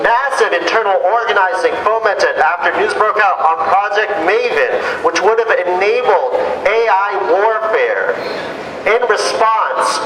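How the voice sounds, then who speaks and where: Woman rally speaker, measured and emphatic political address, echoing PA sound with noisy backdrop. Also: medium pitch. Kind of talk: prepared political protest speech. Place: outdoor street rally. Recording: echoey outdoor PA with clear background noise.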